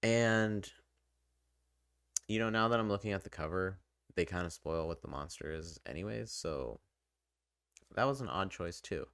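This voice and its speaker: A man with a low-pitched voice gives an informal, unscripted review. He talks conversationally and haltingly, often breaking off for asides. The sound is dry, with very little room in it. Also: vocal fry, a bit bright, clear, resonant tone, dry recording. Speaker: video game reviewer and channel host. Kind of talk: casual unscripted review talk to viewers.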